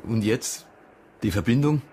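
An actor playing a businesslike lead police investigator in a radio crime drama, directive and probing, with a husky voice. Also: male voice, mid-range voice, expressive, unhurried, dark tone, slight background noise, lightly acted. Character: businesslike male police investigator leading the case. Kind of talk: naturalistic German radio crime drama acting.

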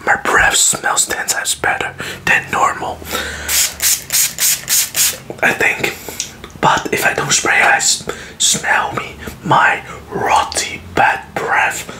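A man in his thirties doing a close-miked ASMR roleplay in a breathy, unvoiced whisper, with halting short phrases and mouth sounds. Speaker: ASMR video host. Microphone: close to the mic.

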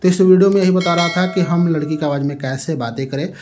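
Higher-pitched man explaining a phone app. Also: quick pace, background noise. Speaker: tech tutorial host. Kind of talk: app tutorial voice-over.

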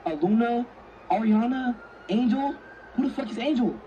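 A man playing a roleplay game on stream, excitable, slangy and profane, acting in character. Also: very high voice, moderate pace, distant mic, muffled recording, slight background noise.

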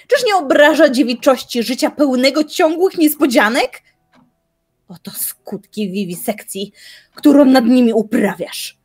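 Woman acting slow, determined young woman. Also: a little high, darkish tone. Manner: measured, formal, argumentative. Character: determined, defiant young woman challenging her elder. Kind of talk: audio drama acting, literary dialogue.

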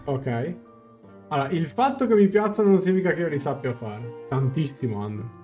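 A man's voice: on the high side, lively intonation, a little fast, mellow. A young adult man with an Italian accent, casually commenting on and translating a game as a live streamer. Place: small room at home. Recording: game music faintly behind.

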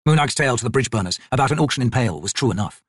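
A man's voice: mid-range voice, expressive, very fast, husky, dry recording, clean studio sound. A man reading scripted fantasy fiction as an audiobook narrator.

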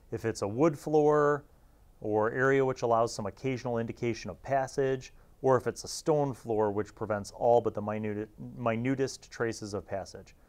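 A man in his forties hosting a casual review, talking conversationally with emphatic asides into a lapel mic. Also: lowish voice. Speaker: host of a tabletop gaming review series. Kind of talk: casual review and commentary on a magazine.

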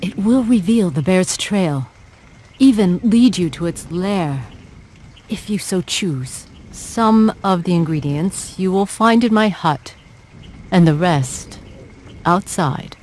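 A woman playing a grave older wise woman in a fantasy game, speaking with deliberate weight. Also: on the low side, animated, a little mellow, a little hiss or hum. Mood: concerned, instructing. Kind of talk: video game character acting.

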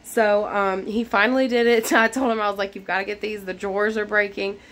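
A woman's voice: mid-range voice, little pitch movement, brisk, fairly bright tone. A woman in her thirties talks straight into a phone held at arm's length in a small bedroom. She rambles in an easy, chatty way with plenty of fillers. Her voice is worn and fading from a recent illness. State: losing her voice after being sick. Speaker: vlogger. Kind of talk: casual cleaning vlog chat. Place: small bedroom in a mobile home.

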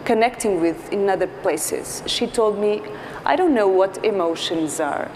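A woman in her thirties from Portugal with a Portuguese accent, giving an earnest conference talk as a psychologist, pausing often with fillers. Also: mid-range voice, a little mellow. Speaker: clinical psychologist speaker. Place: large dark stage. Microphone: lapel mic. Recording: large hall with faint noise.

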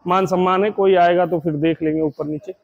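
A man's voice: high voice, steady tone, fairly quick, gravelly, muffled recording. A man who runs a dairy farm, earnestly explaining each cow's traits to a visitor, mixing in English farm terms. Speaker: dairy farm owner selling cows. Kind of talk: sales pitch walkthrough.

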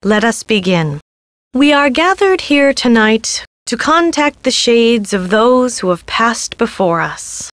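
Slow, dramatic spiritualist. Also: female voice, fairly high pitch, sing-song, no room sound. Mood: solemn, mystical. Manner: theatrical, emotive. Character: dramatic spiritualist woman who holds seances. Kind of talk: video game voice acting.

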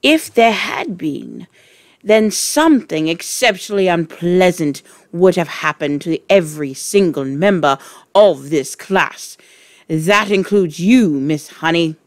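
A woman's voice: fairly low pitch, animated, slow pace, no echo, studio quality. A woman voicing an enormous bullying headmistress in a storybook, barking out scornful, furious insults. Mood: menacing.

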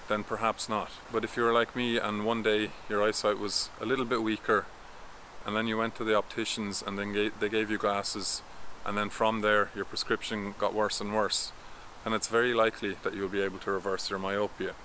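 A man in his thirties talks to the camera in a garden and explains things in a steady, instructive way. His voice sits a little low but sounds bright and forward. Faint outdoor ambience and distant traffic come through in the background. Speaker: vision coach. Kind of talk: talking-head explainer vlog.

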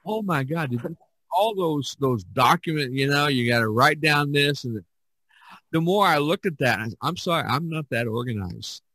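A man's voice: fairly high pitch, animated, moderate pace, pushed and bright, no echo, rough recording. A man hosting a podcast in a casual, rambling way, often repeating himself and circling back. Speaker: podcast host.

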